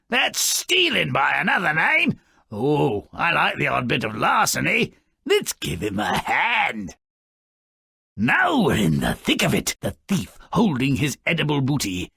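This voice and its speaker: An actor playing Grimm, a cranky, sardonic gremlin-like dwarf who narrates fairy tales mockingly in a video game, with a gravelly, raspy voice and theatrical delivery. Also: male voice, a little high, dry recording, clean studio sound, full character voice. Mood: mischievous, impatient. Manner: sneering, mock-dramatic, swooping from mutters to shouts.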